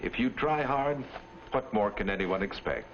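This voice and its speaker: A man's voice: on the low side, expressive, lightly acted. A middle-aged man playing a mild, encouraging father in a 1950s instructional film, speaking in an understated way. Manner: unhurried, understated. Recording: muffled, noisy 1950s film soundtrack.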